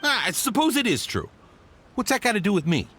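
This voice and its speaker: A man's voice: high-pitched, big pitch swings, fairly quick, sharp tone, a little hiss or hum, full character voice. A man voicing a nervous male trader in a video game, stammering and flustered, over game music. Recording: game audio with music behind.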